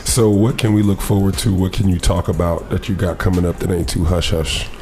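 A man hosting a podcast, asking questions in a relaxed, casual way that gets hyped. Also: deep voice, fairly quick, slight background noise. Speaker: podcast host.

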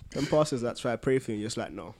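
A man's voice: mid-range voice, darkish tone, slight background noise. A British man with a London accent co-hosting a casual podcast, talking in a slangy, colloquial way. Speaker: podcast co-host.

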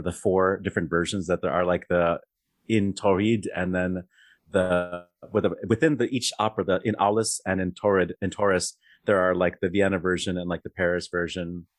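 A man's voice: low voice, no echo. A man hosting an opera interview show, asking long, rambling questions full of fillers. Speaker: podcast host. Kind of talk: conversational interview.

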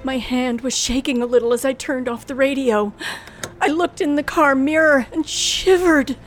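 A woman's voice: high-pitched, normal speed, soft background music, natural voice. An actress playing a nervous young woman who tells her own tale of driving alone at night in an old radio thriller. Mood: frightened. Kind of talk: old-time radio thriller acting, first-person narration. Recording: noisy old radio drama recording.

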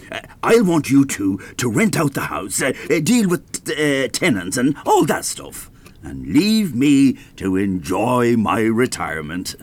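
An older man with an Irish accent playing a querulous, gullible retired Dublin father in a radio play, expressive and a little hesitant. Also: fairly low pitch. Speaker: radio drama actor.

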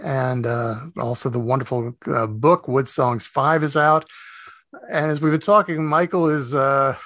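A man hosting a radio interview in a casual, halting, appreciative way. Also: medium pitch, fairly bright tone, dry recording, studio quality. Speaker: radio host interviewing a musician.

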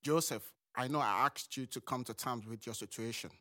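A man playing a stern but reasonable middle-aged father in an audio drama, with a measured, firm delivery. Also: mid-range voice, pushed and bright, no room sound, clean studio sound, lightly acted. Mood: doubtful.

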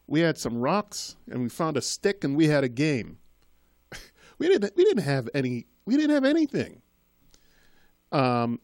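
A man's voice: fairly high pitch, a little mellow, dry recording, clean studio sound. A man in his fifties, raised in Boston after being born in Trinidad, telling his life story as a guest in an earnest, rambling way. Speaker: guest, school committee member. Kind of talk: casual interview.

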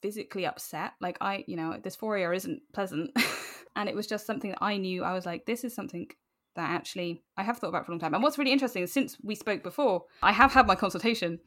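A woman's voice: mid-range voice, fast talker, a bit bright. A woman speaking candidly and informally as an interview guest, animatedly telling personal stories. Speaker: podcast guest.

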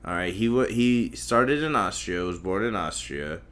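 A man's voice: fairly low pitch, animated, normal speed, fairly bright tone, clear, resonant tone. A young man hosting a solo podcast, rambling in a crude, profane and self-mocking way that often loses its thread. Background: faint noise from outside.

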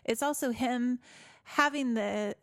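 A loud, expressive woman hosting a faith podcast, her voice pitched fairly high and recorded dry and close. She laughs heartily and slips into little acted-out voices when quoting people. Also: takes it slowly, dry recording. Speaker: podcast host. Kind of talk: casual faith podcast chat.

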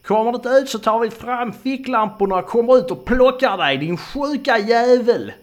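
A man voicing a hot-tempered young male camper who blusters and swears, slightly shrill and defensive. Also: expressive. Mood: angry, threatening.

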